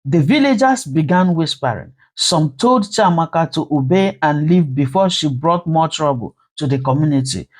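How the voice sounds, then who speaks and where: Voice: mid-range voice, expressive, normal speed, few pauses. A storyteller reading a dramatic scripted folktale narration.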